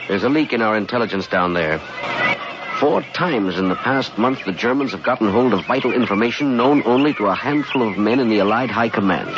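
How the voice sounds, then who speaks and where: An actor playing a firm male intelligence chief who briefs an agent deliberately, in a 1950s radio drama. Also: lowish voice, lightly acted. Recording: dull, noisy old radio broadcast.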